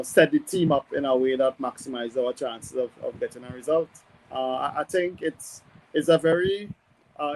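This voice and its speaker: A man giving conversational sports analysis as a guest, hesitant and measured as he thinks aloud. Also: fairly high pitch, low-quality recording. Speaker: football pundit guest.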